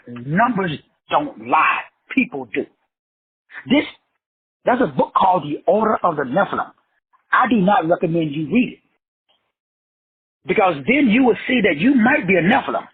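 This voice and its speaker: Middle-aged man, motivational teacher: high, lively pitch, preachy and profane, muffled lo-fi sound. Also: husky. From South Texas. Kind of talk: motivational class lecture.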